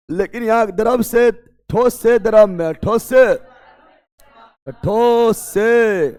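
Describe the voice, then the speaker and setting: A man teaching an exam coaching class, drilling students with repeated questions and urging them to answer fast. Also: high-pitched, clear, resonant tone, big-room sound. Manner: loud, emphatic, urging students to hurry.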